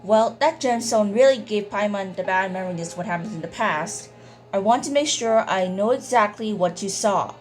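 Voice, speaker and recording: A woman's voice: medium pitch, expressive, normal speed. A woman reading dialogue aloud over video game play as a gameplay streamer. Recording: faint game music behind.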